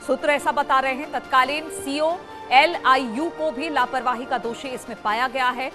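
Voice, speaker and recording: High-pitched woman news anchor over music. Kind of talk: broadcast news reading. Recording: news music bed behind the voice.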